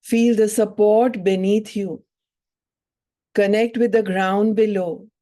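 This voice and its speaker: A woman yoga teacher leading a guided meditation in soothing, measured phrases with long pauses between them. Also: mid-range voice, steady tone, dark tone.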